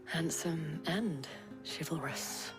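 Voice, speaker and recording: Poised, low-voiced noble lady. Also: music in the background. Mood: gracious, composed. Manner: refined, measured, flirtatious wit. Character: poised noble lady of a town, wry and grateful. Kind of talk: video game dramatic acting.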